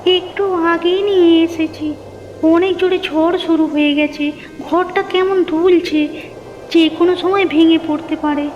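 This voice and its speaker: Woman voicing a very high-pitched bossy village wife. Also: fairly even intonation, unhurried, a little mellow, a little hiss or hum. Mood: worried, chiding. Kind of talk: cartoon story dialogue. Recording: dull lo-fi audio, music behind.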